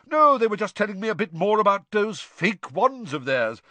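A man voicing a breezy, jovial middle-aged man in an audiobook, with a hearty, booming manner. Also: big pitch swings, moderate pace, bright, forceful tone, dry recording, full character voice. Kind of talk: audiobook character voice.

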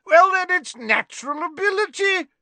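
A hearty, tipsy old teacher holds court, his voice pitched well above the reader's own and flung about in wide swoops. He gushes and exclaims loudly, as a man puts him on, recorded dry and close. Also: wildly swooping pitch, a little slow, no echo, full character voice. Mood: jovial. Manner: hearty, gushing, loud exclamations. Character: tipsy jovial older male professor, booming.

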